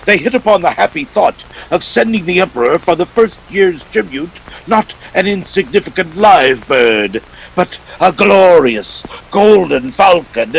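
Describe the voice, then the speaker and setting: A man's voice: high voice, vocal fry, a little hiss or hum, exaggerated voice, poor audio. A man playing a genteel, verbose crime boss in a 1940s-style radio drama, pompous and courtly with a sly joviality. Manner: pompous, courtly, chuckling formality. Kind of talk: 1940s radio villain acting.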